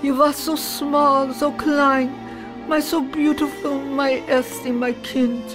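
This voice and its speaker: A woman playing a weary refugee father who tells his daughter about her birth, hushed and grave, slipping German words into his talk. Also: high-pitched, little pitch movement, takes it slowly, pitched above the performer's own voice. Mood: tender, grave. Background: music.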